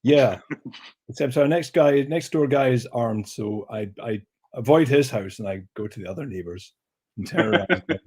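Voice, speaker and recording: Husky man with a big voice. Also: mid-range voice, moderate pace. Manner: self-mocking, animated storyteller. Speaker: guest. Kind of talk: casual chat.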